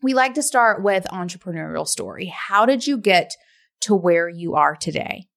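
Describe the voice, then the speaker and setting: A woman's voice: lowish voice, lively intonation, normal speed, creaky, a bit bright. A woman hosting a podcast, reading intros and interviewing a guest in an upbeat, enthusiastic way. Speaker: podcast host. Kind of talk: casual interview and scripted ad reads.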